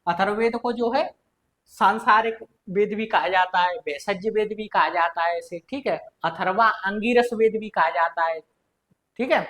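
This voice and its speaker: A woman's voice: on the high side, a bit bright. A woman with an Indian accent teaching an exam-prep lesson, repeating key points and answering her own questions in a didactic way.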